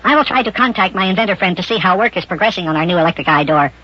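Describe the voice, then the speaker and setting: Eager little boy, very fast chatter, raspy edge, cheeky and boastful, old radio serial, dull lo-fi sound. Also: high voice, expressive. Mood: self-important. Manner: chattering, boastful, plays at being important. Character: eager, mischievous little boy with big schemes. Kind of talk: 1950s children's radio serial acting.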